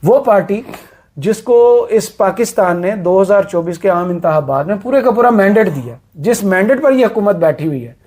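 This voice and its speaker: Sarcastic high-voiced male talk host. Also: animated, moderate pace, a little mellow. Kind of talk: political talk show.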